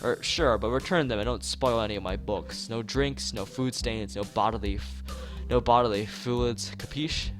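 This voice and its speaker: A man's voice: fairly low pitch, normal speed, few pauses, natural voice. A man voicing a paranoid, ranting male student who hoards books, reading visual novel dialogue aloud over music. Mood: grudging, fussy. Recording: music playing behind the voice.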